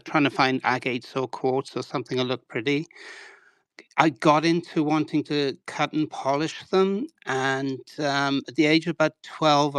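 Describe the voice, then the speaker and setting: A man in his sixties from Wales speaks as an interview guest, telling his story in a loose, self-deprecating way with plenty of "sort of" and "um". His voice is smooth and resonant, recorded dry and close with no room sound. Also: on the high side, a bit bright, no room sound. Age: 60s. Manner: rambling, self-deprecating, many hedging fillers.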